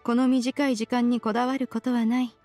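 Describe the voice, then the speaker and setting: A woman's voice: on the high side, flat delivery, fairly quick, dark tone, close to an everyday voice. A woman voicing a proud, ancient immortal spirit in a Japanese game, aloof yet caring, with haughty, archaic phrasing. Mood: composed, approving. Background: music.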